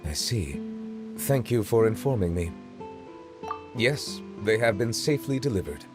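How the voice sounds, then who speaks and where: A stately male judge from a video game, speaking in a low, composed voice. He chooses every word with formal precision and measured calm. His humor is dry. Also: music in the background.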